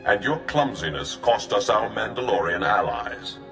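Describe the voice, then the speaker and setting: Cold, disdainful cult commander, low and measured, condescending, echoing voice, for a video game. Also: male voice, sing-song, creaky. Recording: echoing, reverberant voice over music.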